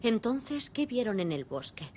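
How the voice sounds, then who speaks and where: An actress playing a composed, sharp female FBI agent in a dubbed video game, speaking in a measured, businesslike, analytical way. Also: on the high side, sing-song, slight background noise. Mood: calm questioning. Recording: music behind the voice.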